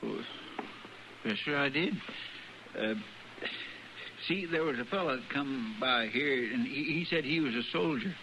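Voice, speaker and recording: An American actor playing a fretful, deferential deputy with a folksy rural drawl, hesitant and rambling, in a radio western. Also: male voice, medium pitch, lively intonation, a little slow, pauses often. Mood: sheepish, fretful. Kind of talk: 1950s radio western acting. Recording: muffled, noisy old radio recording.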